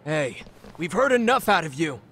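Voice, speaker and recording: Blunt high school boy. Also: sing-song, moderate pace, pushed and bright, slight background noise, exaggerated voice. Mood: annoyed. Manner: blunt, casual. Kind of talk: English game dub dialogue.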